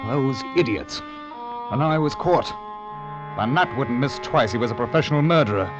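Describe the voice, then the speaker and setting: A man's voice: medium pitch, lively intonation, normal speed. A man narrating in the first person as the lead in an old radio adventure drama, tense and storytelling. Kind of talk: 1940s radio acting. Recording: dull old radio broadcast with music.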